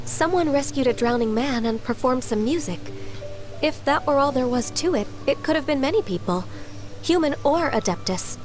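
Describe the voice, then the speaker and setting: A gentle young video game character. The voice is high, polite and a little hesitant, modest in temper. Music plays behind it. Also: female voice. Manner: soft-spoken, polite, hesitant. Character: Ganyu, gentle shy modest half-immortal young woman.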